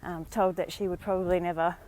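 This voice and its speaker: An Australian woman vlogging live on a walk outdoors, slightly hoarse from a sore throat, talking in a chatty, encouraging way with many fillers. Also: fairly low pitch, level tone, a little fast, darkish tone, low-quality recording. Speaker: vlogger and dating coach. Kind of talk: casual live-stream talk.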